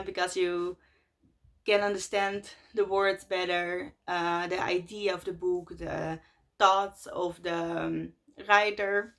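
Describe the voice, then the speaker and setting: A young woman from Russia, a native Russian speaker, chats to camera in English in her twenties. She talks hesitantly, filling pauses with uhs and soft laughs, at an ordinary middle pitch for a woman. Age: 20s. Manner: hesitant, many fillers, laughs softly between phrases. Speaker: vlogger. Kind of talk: casual chat to camera.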